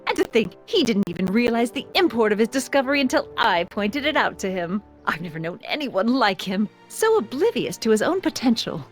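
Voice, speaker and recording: A prim, bossy young woman in a video game. Her voice is high and swings widely, precise and haughty, with light music behind it. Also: very animated, a little fast, hardly any pauses. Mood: gushing. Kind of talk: animated video game voice acting.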